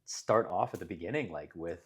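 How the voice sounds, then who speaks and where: A man's voice: low voice, brisk, husky. A man hosting an interview podcast who asks friendly, rambling questions full of fillers. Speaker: podcast host. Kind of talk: casual interview chat.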